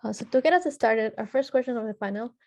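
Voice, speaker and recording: A woman's voice: on the high side, fast talker, darkish tone. Hesitant woman moderator, from Ecuador. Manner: hesitant, many filler sounds and restarts. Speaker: panel moderator. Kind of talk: reading panelist introductions.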